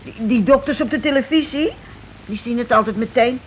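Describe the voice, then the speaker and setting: An actress playing a meek, anxious working-class housewife in a Dutch radio play, speaking plainly and hesitantly. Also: female voice, fairly high pitch, brisk, close to an everyday voice. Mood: frightened. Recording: dull, noisy old radio recording.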